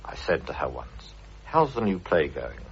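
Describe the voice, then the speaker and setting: A man's voice: extremely low pitch, sing-song, a little slow, a little hiss or hum. A British man giving a prepared, urbane radio reminiscence full of anecdote. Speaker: guest contributor, theatre producer. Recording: dull old radio recording.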